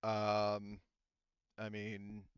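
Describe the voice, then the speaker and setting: A man with a clear, smooth voice works as game master in a casual tabletop session. He rambles and thinks out loud, swearing casually. The recording is close and dry, slightly dull. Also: lowish voice, completely flat delivery, drawn-out words, no echo.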